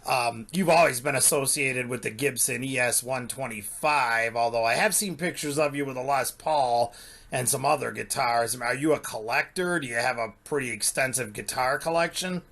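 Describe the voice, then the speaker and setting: A man's voice: mid-range voice, normal speed, fairly bright tone. A man hosting an interview, asking questions in a friendly, enthusiastic way. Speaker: interviewer, host.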